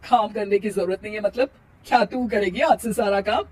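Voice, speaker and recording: A woman's voice: medium pitch, brisk, darkish tone, slightly rough, close to an everyday voice. A woman playing a tired older mother-in-law in a family cartoon, nagging and sarcastic with emphatic delivery. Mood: sarcastic surprise.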